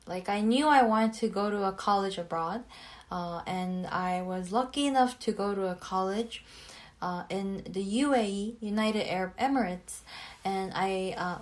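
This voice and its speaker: Podcast co-host, young woman from South Korea, mid-pitched, unhurried and reflective chat. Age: 20s. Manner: thoughtful, many fillers and restarts. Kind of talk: casual conversational podcast.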